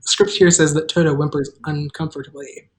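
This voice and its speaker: A woman with a slightly low voice hosts a table read. She is informal and self-deprecating, switching between casual chat and scripted narration. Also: level tone, moderate pace, a little mellow.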